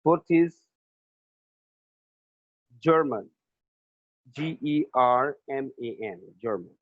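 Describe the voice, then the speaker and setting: A man's voice: medium pitch, expressive, takes it slowly. A male teacher giving a class lesson remotely, repeating each answer and spelling words out letter by letter. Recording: tinny, phone-like lo-fi sound.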